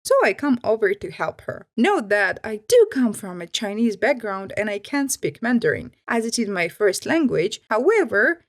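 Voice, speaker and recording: A woman's voice: mid-range voice, sing-song, normal speed, few pauses. A woman reading online stories aloud and adding her own comments. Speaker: storyteller.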